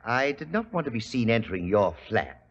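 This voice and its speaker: An actor playing an imperious, wealthy industrialist in an old radio mystery, speaking formally with coldly courteous menace. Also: male voice, medium pitch, big pitch swings, brisk, lightly acted. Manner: smooth-talking, formal, menacing. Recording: dull, hissy 1940s radio recording.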